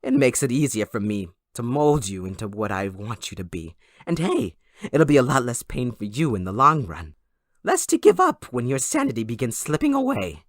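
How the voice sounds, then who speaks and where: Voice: medium pitch, big pitch swings, normal speed, no room sound, clean studio sound, lightly acted. A performer voicing a gleeful, sadistic supervillain kidnapper in an audio roleplay, theatrical, mocking and possessive toward a captive listener. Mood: gleeful menace. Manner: theatrical, mocking, giggling, swooping between croon and shriek.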